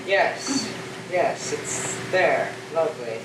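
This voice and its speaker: A man's voice: a little high, lively intonation, very slow. Echoey, faraway resigned crewman played by a man. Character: loyal spaceship crewman, resigned to his fate. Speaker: student stage actor. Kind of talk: theatrical stage dialogue. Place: school theatre stage. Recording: echoey stage, distant mic, clear background noise.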